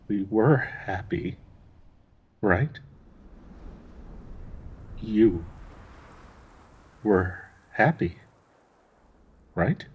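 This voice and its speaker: A man acts out a tender male dryad in a fantasy audio roleplay, grieving and devoted. The voice is fairly low and quite husky, with a lot of breath in it. He speaks slowly and haltingly, wistful and in need of reassurance. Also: dull audio, heavily acted. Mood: anxious, hesitant. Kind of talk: intimate dramatic audio roleplay monologue.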